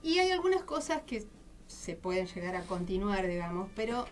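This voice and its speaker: A woman's voice: mid-range voice, very animated, moderate pace, slight background noise. An Argentine woman speaking as an interview guest, explaining things reflectively and conversationally. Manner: reflective, explanatory, self-posed questions. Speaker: guest author of a book on Mapuche art. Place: radio studio.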